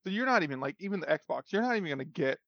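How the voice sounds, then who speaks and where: A man's voice: fairly high pitch, very animated, racing, vocal fry, dry recording, dull audio. Teasing, laughing man on a podcast. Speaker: podcast co-host. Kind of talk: casual chat.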